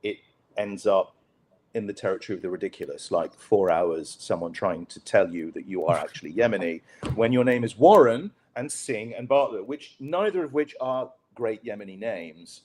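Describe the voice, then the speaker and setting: A man from Pakistan, a journalist guest telling stories in a relaxed interview, with wry, dry humor and lots of fillers and restarts. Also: fairly low pitch, normal speed, a little hiss or hum. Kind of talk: conversational storytelling on a podcast interview.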